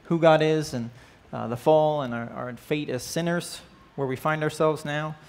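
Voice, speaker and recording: A man in his thirties teaches a church class from a stage. His talk is loose and friendly, full of small fillers and questions to the group. His voice sits somewhat high for a man. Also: fairly even intonation, normal speed, gravelly, a little mellow. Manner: conversational, asks the class questions, frequent "right?" and "uh". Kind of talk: church class lesson with opening prayer. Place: church stage with band gear, indoors.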